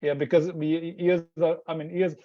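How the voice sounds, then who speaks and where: A man's voice: fairly high pitch, level tone, normal speed, no echo, highs cut off. A man speaking as an interview guest, rambling with many restarts and fillers. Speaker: Hindi teacher, interview guest. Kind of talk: casual interview chat.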